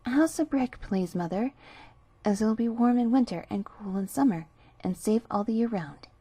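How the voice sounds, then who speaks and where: A woman's voice: on the high side, expressive, normal speed, warm tone, breathy edge, no room sound. A woman voicing a well-mannered, sensible young boy piglet in a storybook reading. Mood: polite. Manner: polite and sensible.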